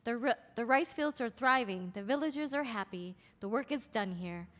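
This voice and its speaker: Female narrator for stage directions, higher register, reading from script, long hall reverb. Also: expressive, moderate pace, fairly bright tone. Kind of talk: scripted narration at a table read.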